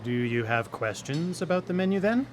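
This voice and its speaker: A man's voice: a little high, sing-song, normal speed, slight background noise. A man playing an eager, polite ordinary guy in a live radio drama.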